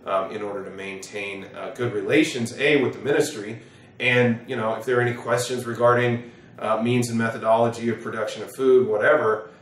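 A middle-aged American man, an attorney explaining to camera in a measured, wordy way in an office. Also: mid-range voice, fairly even intonation, a little hiss or hum. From United States. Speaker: attorney, presenter. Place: small wood-panelled office, indoors. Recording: roomy, echoing sound from a distance.

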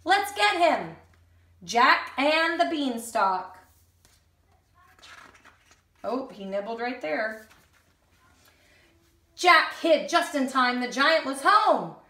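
Bright high-voiced woman reading a picture book. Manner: expressive, slow and deliberate for children. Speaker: teacher reading aloud. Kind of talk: animated picture-book read-aloud. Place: indoors in a room at home. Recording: echoey room sound.

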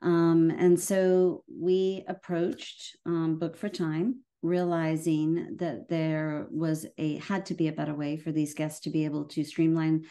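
A woman's voice: deep voice, dark tone. A woman with an Irish accent giving a casual webinar product presentation over a video call, with frequent fillers and restarts. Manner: hesitant, many fillers and restarts.

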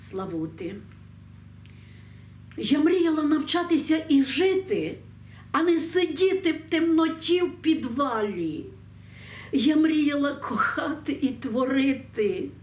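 A woman presenting and solemnly reciting poetry, with deliberate, expressive phrasing and pauses, recorded in an echoey room. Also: high-pitched. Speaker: program presenter and poetry reader. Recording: echoey room, distant mic, faint noise.

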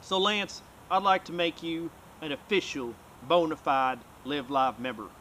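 Energetic man talking outdoors. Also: fairly high pitch, measured pace, a little hiss or hum, poor audio. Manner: energetic, loose, swears casually. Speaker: vlog host. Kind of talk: casual talk to camera. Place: outdoors by a bay with a pier.

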